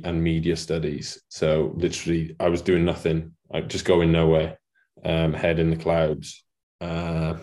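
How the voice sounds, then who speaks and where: Welsh man, late twenties, deep voice, monotone, self-mocking interview guest. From Wales. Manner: self-deprecating, laughs at his own jokes. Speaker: farmer guest. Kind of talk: casual interview chat.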